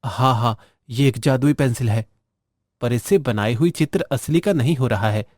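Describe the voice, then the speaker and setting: Man playing an earnest village boy, mellow voice. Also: medium pitch, moderate pace, no echo, studio quality, lightly acted. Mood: earnest questioning. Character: village boys, one naive, one sly and greedy. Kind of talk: cartoon story dialogue.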